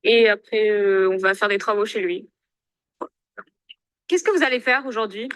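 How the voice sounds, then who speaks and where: A woman's voice: mid-range voice, moderate pace, fairly bright tone, clear, resonant tone, no echo. A woman asking passersby casual questions in a street interview.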